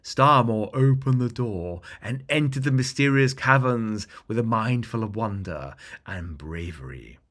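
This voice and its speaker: A man narrating a scripted fantasy bedtime story as a storyteller, with dramatic, deliberate phrasing. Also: on the low side, a bit bright.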